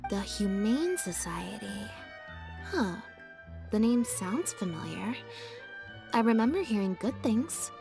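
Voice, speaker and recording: A woman's voice: medium pitch, very animated, slow pace, creaky, pauses often, music in the background, exaggerated voice. An actress playing a shrewd, observant woman with a composed, articulate manner in a video game. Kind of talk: English game dub dialogue.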